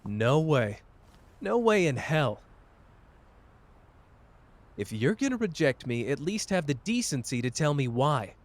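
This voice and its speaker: A man playing a cocky but wounded young sorcerer in an intimate roleplay audio drama, with halting, emotional delivery. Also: fairly high pitch, very animated. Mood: angry, defiant.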